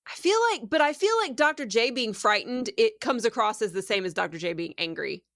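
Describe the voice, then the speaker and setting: A woman's voice: fairly high pitch, lively intonation, fairly quick, fairly bright tone, no room sound, clean studio sound. A woman chatting casually as a player in a tabletop role-playing game. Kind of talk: casual chat.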